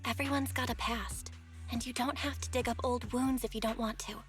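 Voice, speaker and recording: Actress voicing a friendly young woman, encouraging, casual, game dialogue, higher pitch. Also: fairly even intonation, a little fast, soft background music, natural voice. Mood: gentle. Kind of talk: video game voice acting.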